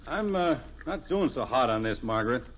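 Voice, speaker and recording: A man's voice: medium pitch, expressive, moderate pace, close to an everyday voice. An actor playing a genial, easily exasperated middle-aged suburban father in a 1950s radio sitcom, folksy and dryly sarcastic. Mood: flustered, exasperated. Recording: dull old radio recording, faint hiss.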